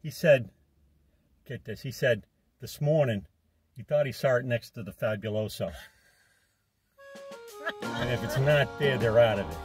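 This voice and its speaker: A man's voice: medium pitch, measured pace, pauses often, soft background music. A man in his fifties bantering in a car, telling a dry joking story. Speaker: vlogger's companion. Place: inside a car. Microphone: dashboard camera.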